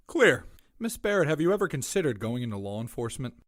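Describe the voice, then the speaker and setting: Male actor as a small-town sheriff, mid-pitched voice, formal, authoritative, courteous, protective, audio drama. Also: sing-song, fast talker, dry recording, clean studio sound, natural voice. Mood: businesslike.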